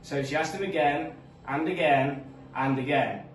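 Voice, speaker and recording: A man's voice: a little high, moderate pace. Echoey man reading a storybook aloud. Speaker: school staff member reading aloud. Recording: echoey room, distant mic, faint noise.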